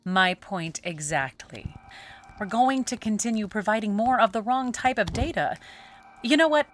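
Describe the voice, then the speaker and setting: An actress playing a sharp, skeptical saleswoman in a theatrical audio musical, dismissive and challenging in manner. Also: medium pitch, lively intonation, normal speed, slight background noise, natural voice. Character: sharp, skeptical saleswoman sparring with a marketing colleague. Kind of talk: theatrical musical acting, spoken and sung.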